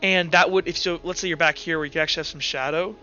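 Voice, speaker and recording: A man's voice: fairly high pitch, fairly even intonation, fast talker, pushed and bright. A man acting as game master in a tabletop roleplaying game, explaining rulings in an accommodating way. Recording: dull sound with music underneath. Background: music.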